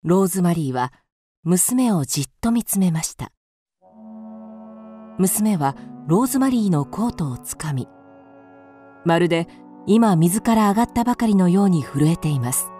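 A woman's voice: lowish voice, lively intonation, moderate pace, long pauses, dark tone, soft background music. A woman narrating a radio drama from a script as its storyteller.

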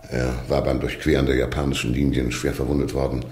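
An actor in a radio drama playing a suave, menacing older Russian minister, pompous and over-polite. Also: male voice, very deep voice, little pitch movement, fast, darkish tone, roomy sound, slight background noise. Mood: silky, courtly.

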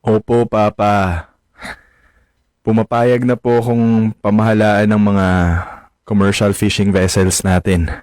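An actor playing a respectful, earnest young son in a radio drama, polite and hesitant. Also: male voice, on the low side, flat delivery, slow, long pauses, darkish tone, dry recording, studio quality. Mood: resolved. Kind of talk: Filipino radio drama acting.

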